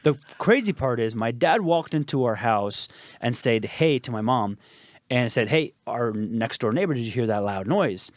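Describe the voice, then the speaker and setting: A man's voice: mid-range voice, normal speed, no room sound, clean studio sound. Afghan-born man telling his story. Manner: relaxed, repeats phrases and fillers, occasional laughs. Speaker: guest. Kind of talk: casual storytelling interview. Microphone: podcast studio mic.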